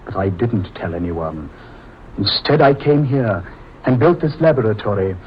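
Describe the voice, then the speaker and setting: A man's voice: on the low side, lively intonation, a little hiss or hum, close to an everyday voice. Man playing a sinister mad doctor, muffled old radio. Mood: smug, controlled. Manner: theatrical, deliberate, condescending, grandiose. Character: sinister, boastful mad scientist doctor, menacingly polite. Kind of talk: 1950s radio horror acting.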